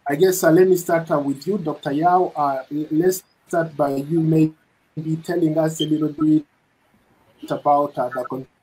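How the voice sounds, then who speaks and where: A middle-aged man hosting a news interview, speaking in a measured way with frequent fillers and restarts. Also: a little high, steady tone, warm tone, slight background noise, poor audio. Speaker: news reporter and interview host. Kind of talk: broadcast interview moderation. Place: indoor studio set.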